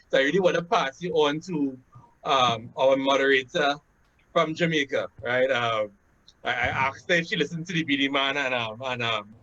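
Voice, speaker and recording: A man from Trinidad and Tobago with a Trinidadian accent welcomes people to a webinar over a video call. He speaks at a middle pitch in a loose, chatty way, with fillers and "right?" tags. The sound is dull and lo-fi, as from a laptop. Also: a bit bright. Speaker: webinar host. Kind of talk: casual webinar introduction. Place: home room, indoors. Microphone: laptop webcam on a video call.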